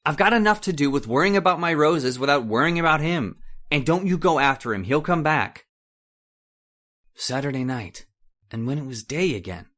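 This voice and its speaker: Casting: male actor as scornful older husband in radio horror, snappish and haunted, with wildly varied pitch. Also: lively intonation, normal speed, a bit bright. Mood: brooding, haunted. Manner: impatient, snapping, muttering broodingly. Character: irritable, scornful older husband, a rose grower haunted by memory. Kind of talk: old-time radio horror acting.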